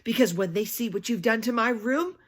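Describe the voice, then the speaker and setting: A woman's voice: fairly high pitch, brisk, voice pushed up, close to an everyday voice. A defiant, scheming little girl from a storybook, played by a woman reading aloud. She is cheeky and bold, delivering her words as open taunts to the grown-up in charge. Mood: smug.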